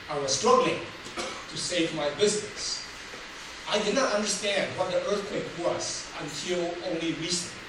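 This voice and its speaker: An older man from Taiwan, Taiwanese his first language, tells his life story in a speech and laughs at his own jokes. His voice sits fairly high. He is heard from far back in a very echoey hall, with steady noise behind him. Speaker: public speaker. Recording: roomy hall from far off, noisy.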